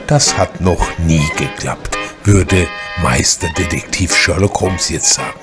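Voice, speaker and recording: A man's voice: deep voice, a little slow. A man narrating a scripted radio drama in a wry manner. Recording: loud music under the voice.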